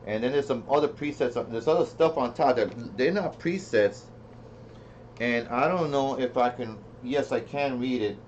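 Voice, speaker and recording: A man's voice: medium pitch, moderate pace, darkish tone. A man giving a casual, unscripted product demo, rambling as he thinks aloud and repeats himself. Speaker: product reviewer. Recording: dull lo-fi sound with faint noise.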